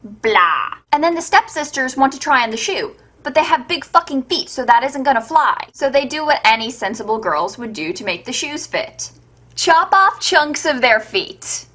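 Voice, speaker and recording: A woman with a fairly high voice narrates like an irreverent comic storyteller. Her delivery is sarcastic and sweary, in the scripted style of a web show host. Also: big pitch swings, moderate pace, bright, forceful tone. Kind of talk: comedic irreverent fairytale retelling, scripted narration.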